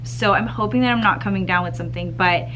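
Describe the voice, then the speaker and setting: A woman's voice: mid-range voice, fast talker, fairly bright tone. Chatty woman with a slightly scratchy voice. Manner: chatty and upbeat, with fillers and throat clearing. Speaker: second grade teacher. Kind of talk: casual vlog chat to camera. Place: school classroom.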